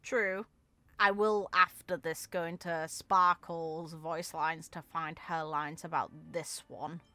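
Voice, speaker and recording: A woman's voice: low voice, steady tone, slow pace, pushed and bright. A woman giving casual reaction commentary on a game, musing aloud with short emphatic asides. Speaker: content creator reacting to game voicelines.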